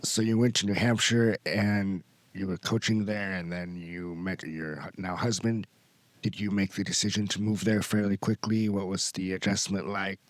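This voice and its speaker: A man's voice: low-pitched, fairly even intonation, normal speed, no echo. A man with an American accent, hosting a podcast and asking probing follow-up questions. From United States. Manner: probing, restates guest's points. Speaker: podcast host. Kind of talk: interview questions.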